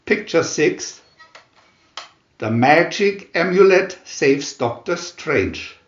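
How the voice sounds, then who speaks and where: A man narrates comic book captions in a theatrical announcer manner, very slowly and with long pauses. His voice is a bit high for a man and swings widely in pitch. The room adds noticeable echo. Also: expressive, highs cut off, soft background music. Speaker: narrator reading View-Master booklet captions.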